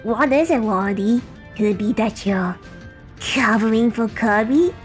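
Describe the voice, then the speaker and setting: Woman voicing slow, smug villainous fire creature. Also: a little high, faint music behind, close to an everyday voice. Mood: suspicious. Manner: taunting, drawn-out, gloating delivery. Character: smug, villainous fireball creature, loyal henchman, male. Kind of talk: fan radio play acting.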